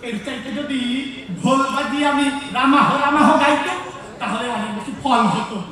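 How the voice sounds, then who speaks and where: A man acting in a comic stage play as an exasperated theatre director, scolding and berating in a loud theatrical voice. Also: extremely high pitch, normal speed. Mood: flustered, bossy. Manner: shouting, berating, theatrical delivery. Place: theatre stage, recorded from a distance. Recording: echoey hall, distant mic, music behind.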